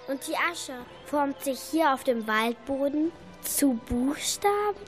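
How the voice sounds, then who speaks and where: A young schoolchild playing an excited child detective in an amateur radio play, declaiming each line. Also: female voice, high-pitched, slow pace, soft background music. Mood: amazed. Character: excited schoolchild detective on a forest treasure hunt.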